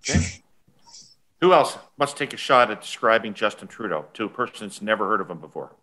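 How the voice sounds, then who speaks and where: A man's voice: lowish voice, expressive, fairly quick, bright, forceful tone. A man moderating a focus group, upbeat as he asks the participants questions. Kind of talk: moderating a discussion.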